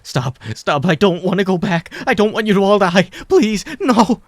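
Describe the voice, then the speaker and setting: A man's voice: high voice, expressive, normal speed, no echo, studio quality, pitched above the performer's own voice. A man doing a frantic tormented young man. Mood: pleading. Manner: pleading, near sobbing.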